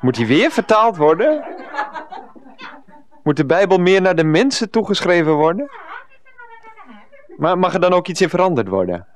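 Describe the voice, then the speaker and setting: Meek, hesitant man, lightish pitch. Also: very animated, normal speed, pauses often. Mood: polite, evasive. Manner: hesitant, mild protests, verbal tics. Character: meek, naive, hesitant man, easily pushed around. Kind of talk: comic radio play acting.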